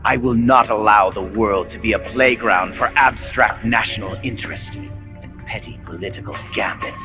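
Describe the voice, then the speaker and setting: Guy playing a low voiced american villain commander, grand calm speeches, muffled game audio w music behind. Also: natural voice. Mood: grandiose. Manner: solemn, grandiose, deliberate speechmaking. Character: megalomaniac male military commander villain, calm, messianic, calculating. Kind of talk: dramatic video game cutscene monologue.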